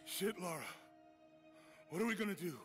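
A man's voice: high-pitched, moderate pace, lightly acted. An actor playing a loyal, worried male adventurer's companion in a video game, urgent and emotional. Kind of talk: cinematic video game acting. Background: game music.

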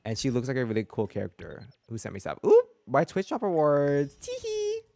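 A man's voice: a little high, wildly swooping pitch, brisk, gravelly, warm tone, no room sound. A man in his twenties chatting casually as a game streamer, rambling and cutting himself off, close on a desk mic. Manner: rambling, self-interrupting. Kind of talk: casual livestream chat. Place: small room indoors. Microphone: desk mic close to the mouth. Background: game music.

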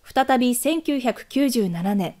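Dark-toned, high-pitched woman news narrator. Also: animated, slow pace. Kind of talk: scripted documentary news narration.

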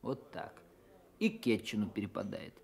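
An actor playing a chatty, cynical local man in a radio drama, slangy and easily excited. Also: fairly low pitch, normal speed, creaky, breathy edge, full character voice. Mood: gossipy. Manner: slangy, expressive, gossipy. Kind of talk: Soviet-style radio drama acting. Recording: music behind the dialogue.

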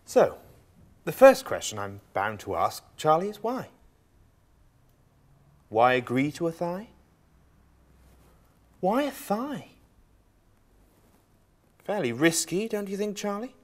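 A man acting an arch, mock-delicate interrogator in a comic stage monologue, asking probing, drawn-out questions. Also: sits high, sing-song, full character voice.

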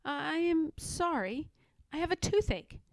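A timid young male pig from a storybook, acted by a woman. The voice is high and lively, with an earnest, polite and worried manner. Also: unhurried, creaky, no echo, studio quality, heavily acted.

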